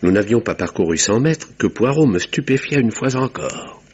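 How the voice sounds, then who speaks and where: An actor playing a sober, observant doctor who narrates a vintage radio mystery in a measured, literary way. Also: male voice, on the low side, expressive, darkish tone, breathy edge, dull audio.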